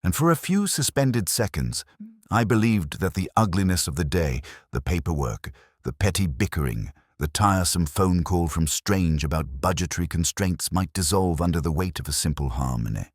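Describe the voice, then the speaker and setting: Man acting as a brooding middle-aged English detective, bottomless low pitch, expressive melody, measured literary storytelling, close dry sound. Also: no echo. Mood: reflective. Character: melancholy middle-aged male English police detective narrating his notebook. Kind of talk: scripted first-person dramatic narration.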